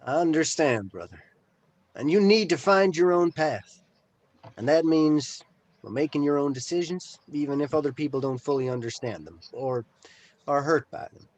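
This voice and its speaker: A man playing a solemn, affectionate older brother in a script table read, speaking in formal, measured phrases. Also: fairly high pitch, a little mellow, natural voice. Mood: solemn, tender.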